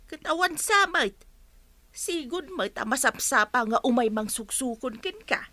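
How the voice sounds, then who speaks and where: A woman's voice: high voice, lively intonation, a little slow, bright, forceful tone, no room sound, full character voice. An actress in a Filipino radio drama playing an inquisitive mother fussing over her grown daughter. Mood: fussing, questioning.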